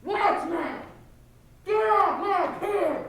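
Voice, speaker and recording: Young woman playing a slow, high-voiced haunted pier watchman. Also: a bit bright, full character voice. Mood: intense, rambling. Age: teenager. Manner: incantatory, preaching in archaic biblical phrases. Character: haunted, scripture-quoting male pier watchman, Willie. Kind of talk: high school stage play acting. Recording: echoey stage hall recording.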